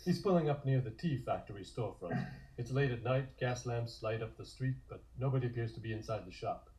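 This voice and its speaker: Male narrator for a comic script read, dark, relaxed tone, mid pitch. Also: a little fast, low-quality recording. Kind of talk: scripted scene narration, present tense.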